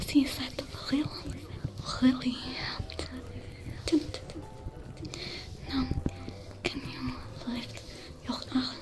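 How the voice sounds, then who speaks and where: A woman's voice: high voice, level tone, very slow, pauses often, rough recording. Woman whispering as a French-accented alien smuggler. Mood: soothing whisper. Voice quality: whispered, soft-spoken. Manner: gentle, reassuring, coaxing. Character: young female alien smuggler healing a wounded stranger. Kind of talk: ASMR roleplay. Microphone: close to the camera.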